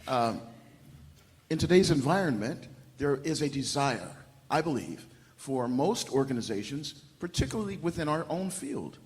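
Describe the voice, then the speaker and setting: A man speaking loudly and emphatically from the audience during a panel discussion. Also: a little high, sing-song, normal speed. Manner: loud, emphatic. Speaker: audience member. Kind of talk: public comment at a panel. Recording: long hall reverb.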